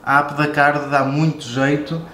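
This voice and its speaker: A man's voice: a little high, flat delivery, normal speed, sharp tone. A man with a European Portuguese accent gives a casual, informal, explanatory product review and walkthrough. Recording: roomy echo with music behind. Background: background music.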